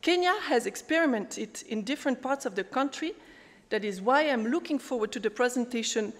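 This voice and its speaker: A woman moderating a conference round table, speaking in halting with frequent fillers and restarts. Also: a little high. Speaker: panel moderator. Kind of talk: conference talk, prepared remarks. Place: large conference hall. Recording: echoey hall with long reverb.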